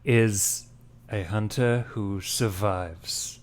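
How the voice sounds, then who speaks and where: A man playing a tabletop roleplaying game, speaking in character in a deliberate way. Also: fairly low pitch.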